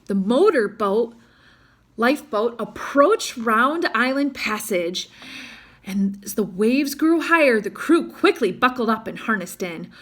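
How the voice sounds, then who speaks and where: Animated, high-voiced woman reading a storybook. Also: unhurried. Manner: dramatic, with asides to young listeners. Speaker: storybook reader. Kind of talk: animated read-aloud of a children's adventure story.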